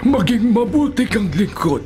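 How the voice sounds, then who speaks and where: A man's voice: very high-pitched, expressive, normal speed, dark tone. An actor playing a dying, devout old father in a radio drama, solemn and weak. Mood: weary, solemn. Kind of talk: Filipino radio drama acting. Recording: radio drama with music underneath.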